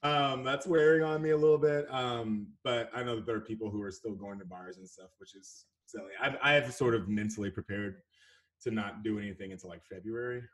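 A man's voice: lowish voice, a little fast. A man from Texas with a faint Texan accent, chatting as a podcast guest and laughing often. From Houston, Texas. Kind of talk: casual chat.

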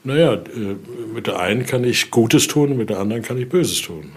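A man's voice: mid-range voice, animated, normal speed. An older man explaining collection items conversationally as a researcher, in German with non-native grammar slips and frequent questioning tags at sentence ends.

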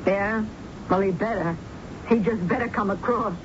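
A woman's voice: medium pitch, normal speed, natural voice. An actress playing a brash, defiant, uneducated young working-class woman in a radio drama, with casual, slangy speech. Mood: defiant, pleading. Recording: muffled, noisy old radio broadcast recording.

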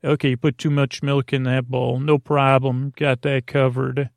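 A middle-aged man with a gravelly voice, rambling through a bedtime monologue as a podcast host, halting and drifting into tangents. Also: mid-range voice, flat delivery, no echo, studio quality. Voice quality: gravelly, creaky. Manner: meandering, halting, trailing off into tangents.

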